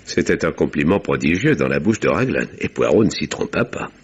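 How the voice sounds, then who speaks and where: An actor playing a composed, wry village doctor who narrates a 1950s-style French radio mystery in measured, literary, husky tones. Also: male voice, extremely low pitch, expressive, exaggerated voice. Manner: measured, dryly ironic. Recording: dull vintage radio sound.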